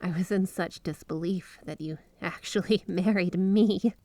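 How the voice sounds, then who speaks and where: A woman's voice: lowish voice, unhurried, warm tone, dry recording. A woman playing a flirty, obsessively devoted yandere wife in an ASMR roleplay, speaking in a sweet, clingy, gushing way. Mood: tender, needy. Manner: sweet, clingy, breathy giggles, gushing affection. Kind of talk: ASMR roleplay, addressing the listener as spouse. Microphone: close studio microphone, dry.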